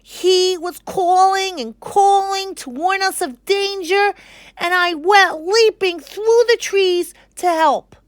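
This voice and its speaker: A woman's voice: extremely high pitch, slow pace, no echo, voice pushed up. A woman voicing a nervous storybook monkey, pleading and making excuses in an exaggerated, frantic way.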